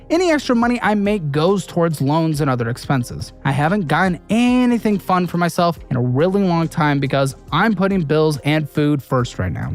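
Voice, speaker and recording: A man earnestly reading aloud a written update on his debt. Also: high-pitched. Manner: earnest, steady listing.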